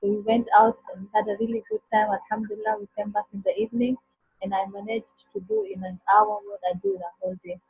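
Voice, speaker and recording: Woman participant, very husky, dry muffled call audio. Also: mid-range voice, fast talker, no echo. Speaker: course participant. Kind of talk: sharing on a group call. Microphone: video call. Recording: dull dry call audio, faint music behind.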